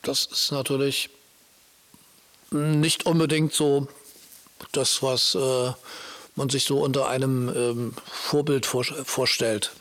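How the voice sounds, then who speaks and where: A man's voice: mid-range voice, steady tone, slow pace, pauses often, a bit bright. A man talking casually and informally about Bible stories, often searching for his words as he explains. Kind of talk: casual conversational Bible discussion.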